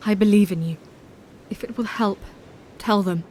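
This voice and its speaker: Woman playing a gentle Victorian wife, mid-pitched. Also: normal speed, a little hiss or hum, close to an everyday voice. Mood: reassuring. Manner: polite, soothing. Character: gentle, supportive wife, well-mannered Victorian lady. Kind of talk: audio drama acting.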